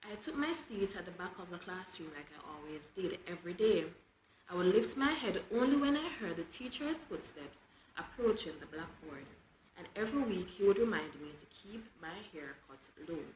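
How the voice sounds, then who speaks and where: A woman reading children's books aloud in an expressive storytelling style. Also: lowish voice, moderate pace. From Jamaica. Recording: roomy echo with clear background noise.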